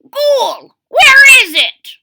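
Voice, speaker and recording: A woman voicing a cranky, impatient, centuries-old man in a storybook, croaking and hollering with loud, drawn-out exclamations. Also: very high voice, sing-song, pitched above the performer's own voice, full character voice. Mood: demanding. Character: cranky, impatient eight-hundred-year-old man craving pumpkin pie.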